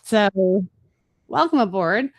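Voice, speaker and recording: A woman hosting a marketing webinar, talking fast and casually with fillers and restarts. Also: on the high side, expressive. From Chicago, USA. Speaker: webinar host.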